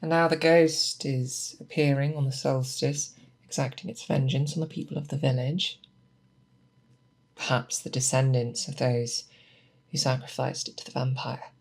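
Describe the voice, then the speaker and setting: Woman doing a seasoned monster hunter teaching an apprentice, soft gravelly creak, close up asmr, thoughtful and unhurried. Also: rumbling low, steady tone. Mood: musing, grave. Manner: deliberate, hesitant, thoughtful explaining. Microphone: close binaural microphone.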